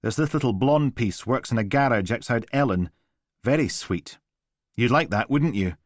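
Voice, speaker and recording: A man's voice: mid-range voice, lively intonation, normal speed, dry recording, studio quality, voice pushed up, natural voice. A man voicing a drunken, cruel, volatile father whose menace rises into bellowing rage. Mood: leering.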